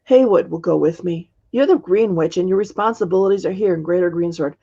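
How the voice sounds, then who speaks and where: Woman playing a take-charge magical woman, storybook, matter-of-fact, decisive, raspy, dull lo-fi sound. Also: fairly low pitch, brisk, dark tone. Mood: firm. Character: brisk, capable woman magician taking charge. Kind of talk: storybook character reading.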